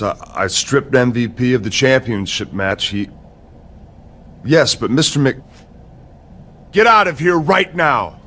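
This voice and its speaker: A man playing a flustered authority figure in a video game cutscene, speaking haltingly and deferentially as if cut off by his boss. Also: mid-range voice, fairly bright tone, heavily acted. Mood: exasperated. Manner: halting, deferential, breaks off mid-sentence. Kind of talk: video game cutscene acting, one-sided phone conversation.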